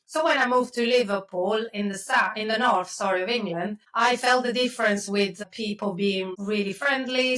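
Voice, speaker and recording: A woman's voice: medium pitch, fairly bright tone. Italian-accented woman, distant mic. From Italy (Milan). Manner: chatty, with frequent restarts and fillers. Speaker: podcast guest, systems and automation expert. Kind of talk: casual interview talk. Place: home office, video call.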